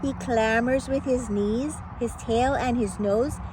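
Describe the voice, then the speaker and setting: A woman with a high voice reads a children's picture book aloud over clearly noisy ambience. She takes her time, delivering the story in a playful, dramatic way with exclamations and pauses for suspense.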